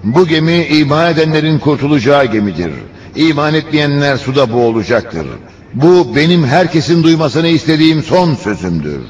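A man's voice: on the high side, very animated, normal speed, slight background noise. A man narrating a religious cartoon, relaying a prophet's words in a solemn, declamatory, preaching manner. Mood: stern, warning. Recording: muffled cartoon soundtrack with music.

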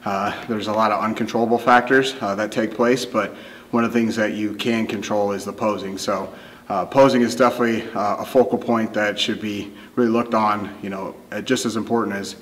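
An American man in his thirties speaks in a fairly low voice with very little melody. He talks casually to camera as a competitor would, in measured, halting phrases. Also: steady tone, poor audio. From Akron, Ohio, United States. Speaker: bodybuilding competitor. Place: indoor gym photo studio.

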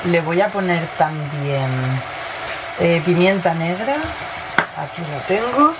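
Hoarse, low-voiced Spanish woman over sizzling. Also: slow pace. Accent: Spain Spanish. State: hoarse from a cold. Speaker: home cooking vlogger. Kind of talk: casual recipe narration over cooking. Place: home kitchen, indoors. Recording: noisy kitchen with sizzling pan. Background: frying and stirring sounds.

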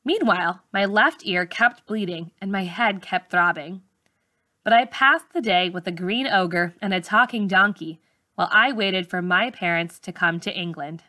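A woman reading a memoir aloud like an audiobook narrator, with measured, clearly phrased delivery. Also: mid-range voice, pushed and bright, no room sound. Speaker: teacher reading a book aloud.

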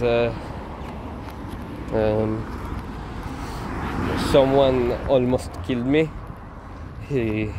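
A man's voice: mid-range voice, background noise. A man with an Israeli accent vlogging casually while walking outdoors, rambling and slangy, filming on a phone. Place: outdoors on city streets.

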